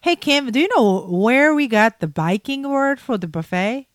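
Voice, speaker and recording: A woman with a Japanese accent chats playfully and laughs often as a co-host. Her voice sits a little high. The sound is dry and close. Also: expressive, normal speed, dry recording. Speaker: podcast co-host. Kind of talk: casual chat.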